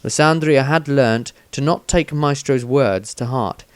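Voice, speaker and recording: A man's voice: medium pitch, measured pace, no echo. Man reading a fantasy story, dry sound. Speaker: audiobook reader. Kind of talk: scripted fantasy narration.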